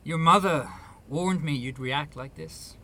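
An actor playing an earnest, persistent young man in a radio drama, speaking politely and haltingly. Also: a little high, bright, forceful tone, slight background noise, exaggerated voice. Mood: hurt, baffled.

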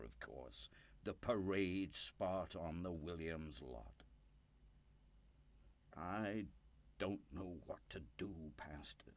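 An actor in a radio play playing a proud, volatile, destitute storekeeper of sixty-eight who swings between indignation and despair. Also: male voice, fairly low pitch, sharp tone, full character voice. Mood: bitter despair.

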